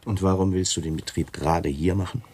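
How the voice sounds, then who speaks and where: A self-important camp commandant in a radio drama. The voice is low and husky, recorded very dry. He speaks solemnly and stiffly, with wary hesitation. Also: fairly even intonation, brisk, darkish tone, no room sound. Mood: sceptical, reluctant. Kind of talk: German radio play acting.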